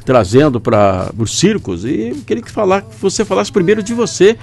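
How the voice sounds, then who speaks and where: A man's voice: high-pitched, expressive, moderate pace, creaky, darkish tone. A Brazilian man hosting a casual radio interview in a chatty, informal way. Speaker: radio host. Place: radio studio.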